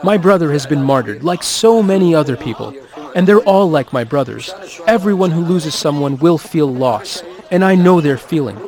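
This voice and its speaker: A man reading a translated voice-over for an interviewee in a slow, solemn way. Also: fairly high pitch, expressive, darkish tone.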